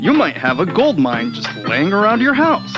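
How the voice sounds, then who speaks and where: A woman playing a pushy, flamboyant pitchwoman in a parody radio ad, punching out her sales lines. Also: huge pitch swings, normal speed, lo-fi sound, music in the background. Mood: hard sell.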